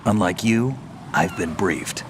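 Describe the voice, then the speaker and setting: A deep-voiced, blunt commando. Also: male voice, slow pace, noisy background. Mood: dry, knowing. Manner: laconic, blunt. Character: gruff, confident, seasoned male commando. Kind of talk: video game cutscene acting.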